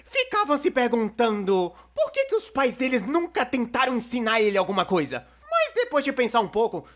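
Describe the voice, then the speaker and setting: A man's voice: extremely high pitch, wildly swooping pitch, moderate pace, a bit bright, full character voice. A man with a Brazilian accent dubbing a casual, wry young storyteller in scripted video commentary. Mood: wry, incredulous. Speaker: dubbed narrator of an animated opinion video.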